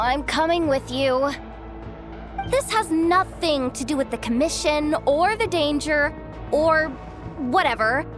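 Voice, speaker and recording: A woman voicing a cocky but jittery young treasure-hunting guide in a video game, flustered and full of defensive bluster. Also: sits high. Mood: stubborn. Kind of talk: English video game voice acting.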